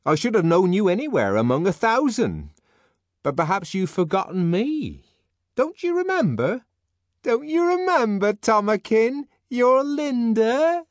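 A man voicing a faded middle-aged woman who pleads in a shrill, trembling voice. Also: very animated, a little slow, dry recording. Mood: indignant pleading.

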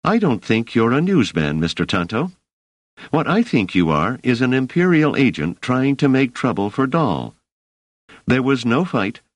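A man playing a stern, sharp young woman. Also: mid-range voice, dry recording, pitched above the performer's own voice. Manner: firm, commanding.

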